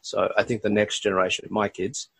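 A man's voice: fairly low pitch, little pitch movement, brisk, creaky, fairly bright tone, husky, dry recording. A young man, from Bangladesh and raised in Australia, chatting reflectively as a podcast co-host with lots of "like" and "kind of". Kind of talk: casual chat.

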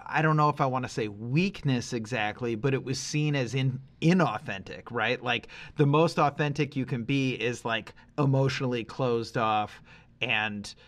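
A middle-aged man co-hosting a podcast, talking casually and reflectively, working through his thoughts haltingly with qualifications. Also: medium pitch, fairly bright tone. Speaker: podcast co-host.

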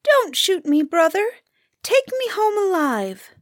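A woman's voice: very high-pitched, big pitch swings, slow pace, no room sound, clean studio sound, pitched above the performer's own voice, exaggerated voice. A woman voicing an earnest, mysterious talking grouse that pleads in a storybook tale. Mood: pleading. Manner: pleading, entreating.

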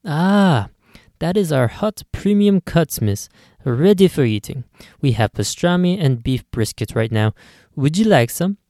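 A man voicing an excitable, proud Italian male deli clerk with a put-on Italian accent and a theatrical way of speaking. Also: fairly high pitch, measured pace, no room sound, clean studio sound, pitched above the performer's own voice. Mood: cheerful. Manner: theatrical, exclaiming.

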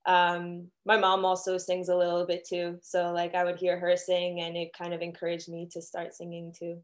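A woman's voice: lowish voice, completely flat delivery, moderate pace. A young woman from Miami, a singer-songwriter, chatting casually as a guest with lots of "um" and "you know". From Miami, Florida. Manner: chatty, many fillers and restarts. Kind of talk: casual interview answers.

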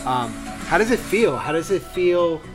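Man from New York, podcast co-host, upbeat, quick interjections, slightly high pitch, background music. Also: animated. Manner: upbeat, chiming in with short reactions. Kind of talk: casual podcast chat.

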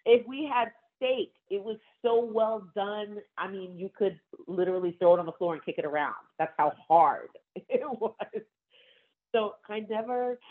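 A woman talks casually and with enthusiasm, laughing now and then. Her voice comes through thin and tinny, like it's heard over a phone. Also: lowish voice. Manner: enthusiastic, frequent laughs and asides. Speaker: wine bar owner. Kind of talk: casual conversation.

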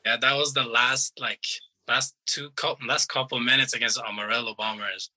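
A young man in his twenties from Iran, a soccer player answering interview questions in a relaxed, halting way with restarts. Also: medium pitch.

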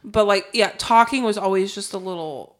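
A woman's voice: medium pitch, moderate pace. A young woman co-hosting a podcast in casual chat, giggling often and filling her talk with "like". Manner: giggly, says "like" a lot. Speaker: podcast co-host.

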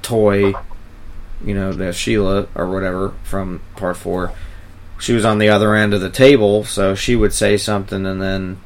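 A man chatting casually in a gravelly, creaky voice, with a hesitant, meandering delivery. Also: low voice. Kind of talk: casual rambling chat with frequent profanity.

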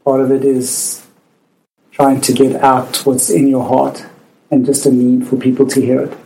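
A South African man in his forties, a doctor telling personal stories, halting and restarting as he goes. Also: mid-range voice, completely flat delivery, creaky, mellow, low-quality recording. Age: 40s. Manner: halting, with frequent restarts and laughter. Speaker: physician. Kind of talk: personal storytelling.

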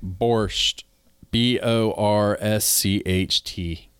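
A man with a fairly low voice talks casually as co-host of a gardening show. He explains things conversationally and without a script. The sound is very dry, with no room echo. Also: fairly even intonation, drawn-out words, fairly bright tone, smooth. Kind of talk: casual unscripted co-hosted chat.

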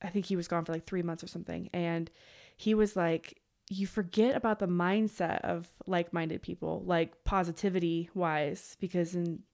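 A woman in her late twenties, a podcast guest, chatting in an animated way with quoted asides. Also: on the low side, normal speed. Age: late 20s. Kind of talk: casual chat.

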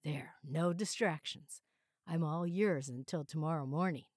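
A woman's voice: low voice, unhurried, darkish tone, dry recording. Cheating businessman read by a woman narrator, eager, confident and flirty, dry audiobook sound. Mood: seductive, confident. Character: eager, smooth-talking adulterous businessman.

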